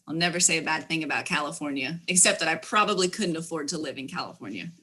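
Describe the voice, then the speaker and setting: A woman's voice: sits low, animated, fast talker, pushed and bright. A woman with a Texas accent, a guest on a local talk show, chatting in an upbeat way. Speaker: emergency management specialist, guest. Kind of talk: casual interview chat.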